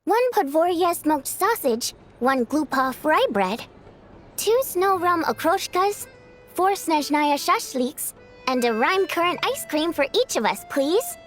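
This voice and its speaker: Bubbly, high-pitched teasing young performer. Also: female voice, sing-song, takes it slowly, faint music behind, heavily acted. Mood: insistent. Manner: teasing, theatrical. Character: playful, pushy, bubbly young female performer. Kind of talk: video game cutscene acting.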